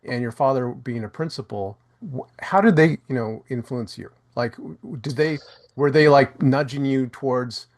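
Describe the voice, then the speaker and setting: A man's voice: mid-range voice, normal speed. A man hosting an interview, asking earnest questions with some stammered restarts over a video call. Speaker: podcast host.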